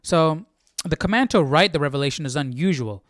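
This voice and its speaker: A man's voice: on the high side, expressive, brisk, fairly bright tone, dry recording, clean studio sound. A man hosting a casual Bible study, reading aloud and explaining in an informal way, stumbling and correcting himself. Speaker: Bible study livestream host.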